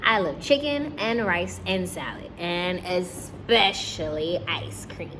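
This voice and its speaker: A woman's voice: on the low side, slow pace, background noise. A woman voicing an eager, hungry little girl in a children's storybook, with a childlike manner. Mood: picky, satisfied.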